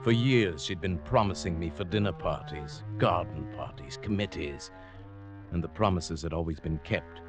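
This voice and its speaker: An actor playing a meek, middle-aged henpecked professor with secret murderous plans, in a mild, deliberate 1940s radio suspense style. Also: male voice, low-pitched, natural voice. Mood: cold, reflective. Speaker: actor, lead and narrator. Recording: vintage 1940s radio broadcast, faint music.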